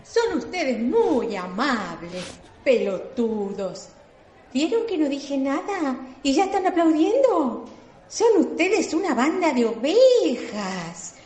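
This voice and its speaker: Slow, haughty Argentine politician. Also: female voice, high voice, sing-song, a little mellow, heavily acted. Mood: haughty, scornful. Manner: insulting, brazen. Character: haughty female politician and psychoanalyst, scornful of supporters. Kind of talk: comic radio soap opera acting. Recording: echoey, noisy rally with crowd.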